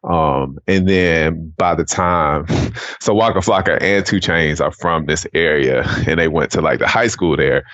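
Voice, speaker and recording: A man's voice: rumbling low, measured pace, a bit bright. An American man from the Atlanta area, a podcast guest casually telling personal stories in an informal, meandering way, with muffled, roomy sound. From Atlanta area, Georgia, United States. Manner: informal, meandering, many conversational tags. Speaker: podcast guest, education non-profit founder.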